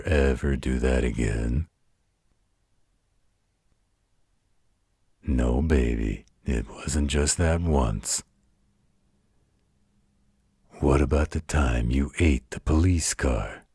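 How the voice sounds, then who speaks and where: Man voicing a very deep, husky werewolf protector. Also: a little mellow, no echo, studio quality, full character voice. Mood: gently chiding, soothing. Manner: slow, coaxing, patient, mock-stern. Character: gruff, protective werewolf guardian, fondly exasperated parental figure. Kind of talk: intimate bedtime roleplay audio.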